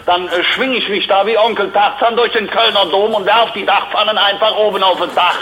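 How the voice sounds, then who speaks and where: A man playing a gruff, foul-mouthed master roofer on a comic phone call, blunt, impatient, sarcastic and insulting. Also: sits high, fairly even intonation, fairly quick, background noise. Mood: sarcastic, scornful.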